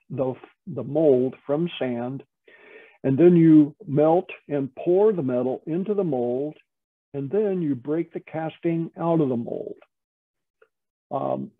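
A man giving an informal, explanatory slide presentation as an instructor, halting and often repeating words. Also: on the high side, no echo. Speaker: metalworking studio lead, instructor. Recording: tinny, narrow phone-like call audio.

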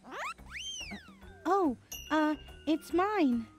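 A woman's voice: high voice, lively intonation, extremely slow, over music, heavily acted. A woman voicing a nervous but defiant younger sibling in an audio roleplay, hesitant and flustered. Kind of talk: amateur audio roleplay acting.

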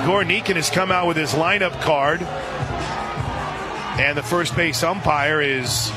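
A man doing live radio play-by-play of softball in a conversational way that can burst into excited calls. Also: fairly high pitch. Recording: crowd and music behind broadcast. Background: stadium crowd, music.

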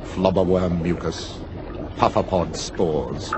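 A man's voice: deep voice, steady tone, slow. A man with an English accent playing a stern, disdainful middle-aged potions professor in a video game, speaking precisely. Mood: cold, disdainful. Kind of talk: video game cutscene acting. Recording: noisy game audio.

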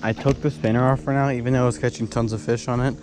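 A man's voice: mid-range voice, flat delivery, quick pace, darkish tone, faint music behind. A young man vlogging while he fishes from a dock, explaining in a loose, easygoing way with lots of "like" and "sorta". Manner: laid-back, loose with fillers like "sorta" and "like". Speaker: fishing vlogger. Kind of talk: casual narration while fishing. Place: outdoors on a dock by the water, evening into night. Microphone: head-mounted action camera. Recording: outdoor noise, insects and crickets.

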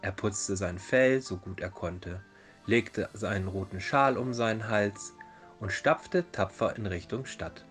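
A man's voice: on the low side, unhurried, fairly bright tone. A man narrating a fairy tale as a storyteller in a dramatized reading. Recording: music behind the voice. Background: background music.

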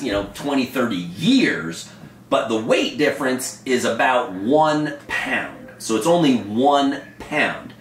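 A man in his forties, a paragliding instructor, explaining to camera in an emphatic, animated way, gesturing as he talks from a home office. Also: medium pitch, recorded from a distance, slight background noise. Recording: echoey room, a bit off-mic.

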